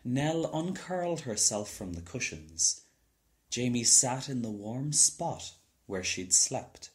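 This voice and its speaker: A man's voice: medium pitch, big pitch swings, slow pace. A man narrating a children's storybook as a scripted reading. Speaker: storybook reader.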